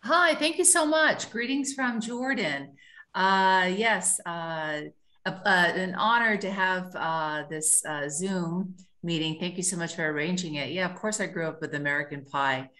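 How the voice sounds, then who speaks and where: Low-voiced woman chatting on a call. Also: sharp tone. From California. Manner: chatty, quick, with fillers. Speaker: guest caller in tourism. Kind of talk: casual chat on a video call.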